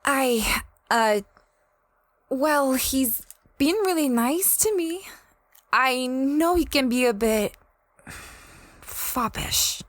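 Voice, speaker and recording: A woman's voice: high-pitched, lively intonation, drawn-out words, long pauses, no echo, heavily acted. A woman playing an apologetic young female space adventurer in an audio drama, hesitant and self-effacing. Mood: awkward, apologetic. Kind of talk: audio drama dialogue and diary-like narration.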